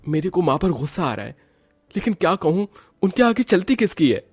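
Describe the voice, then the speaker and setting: A man's voice: high voice, very animated, a little fast, slightly rough, pitched above the performer's own voice, full character voice. A man voicing a protective young husband in a cartoon story, heated and reproachful. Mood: pleading, annoyed. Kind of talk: acted Hindi cartoon moral story.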